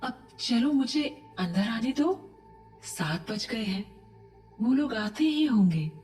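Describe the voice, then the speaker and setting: A woman playing a dead mother's ghost in a horror audio drama, gently coaxing and pleading with her young son. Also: on the high side, expressive, recorded from a distance, soft background music. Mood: urgent, pleading. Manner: gentle, slow, pleading persuasion.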